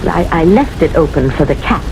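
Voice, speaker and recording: A woman's voice: low voice, expressive, brisk, over music. An actress playing a timid older woman living alone in an old-time radio drama, hesitant, polite and easily flustered. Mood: startled, wary. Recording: noisy, hissy old radio recording.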